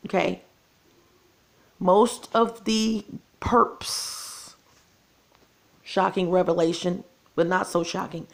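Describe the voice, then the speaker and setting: A woman's voice: on the low side, gravelly. A woman, losing her voice, talking to her viewers in an earnest, repetitive casual vlog monologue. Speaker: channel host.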